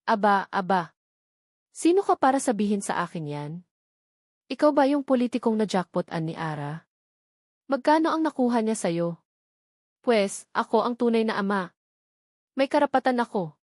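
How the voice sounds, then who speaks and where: A woman's voice: a little high, expressive, moderate pace, pauses often, dry recording. A woman storyteller plays an arrogant man out for money in a narrated romance drama. He is mocking, demanding and threatening, with a very dry sound. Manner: sneering, demanding. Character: arrogant, greedy male extortionist, mocking and threatening.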